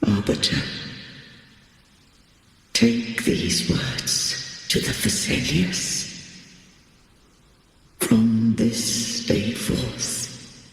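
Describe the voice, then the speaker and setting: A man's voice: medium pitch, drawn-out words, creaky, long pauses, a bit bright, heavily acted. A male actor playing an ancient, fading godlike being in a video game, solemn and gracious, with stately archaic speech and cavernous reverb. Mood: solemn, weary. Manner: stately, formal, archaic phrasing. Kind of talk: archaic scripted game dialogue. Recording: echoey long reverb, music behind.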